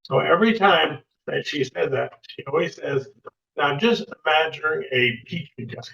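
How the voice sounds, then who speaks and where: Older man, gravelly voice, stumbling speech, set back from the mic, dull audio. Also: a little high, sharp tone. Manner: halting, stumbles and repeats words. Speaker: former baseball player, podcast guest. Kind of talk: casual chat. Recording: distant, lo-fi mic sound.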